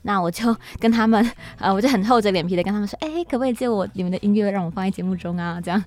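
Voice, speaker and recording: A woman's voice: medium pitch, very fast, darkish tone. A woman with a Taiwanese accent hosting a podcast in casual solo talk, chatty, informal and a little self-deprecating. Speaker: podcast host. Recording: music playing under the voice. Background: background music.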